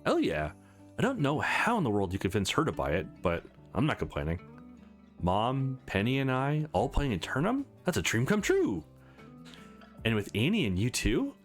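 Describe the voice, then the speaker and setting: A man's voice: medium pitch, huge pitch swings, normal speed, faint music behind. Man reading as an eager, chatty young woman. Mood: upbeat.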